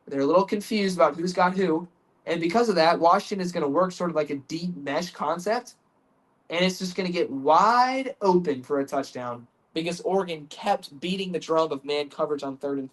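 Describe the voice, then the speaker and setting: A man's voice: fairly high pitch, rough recording. A man hosting a sports podcast, congested from the flu, talking through football film in an earnest, chatty way that stumbles and repeats words. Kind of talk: casual football film breakdown.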